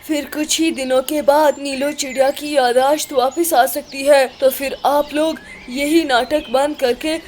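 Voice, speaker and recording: A woman's voice: high voice, steady tone, measured pace, few pauses, darkish tone, slight background noise. A woman voicing a wise cartoon owl who explains and gives instructions to a group of birds. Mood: explaining. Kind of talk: Hindi cartoon voice acting.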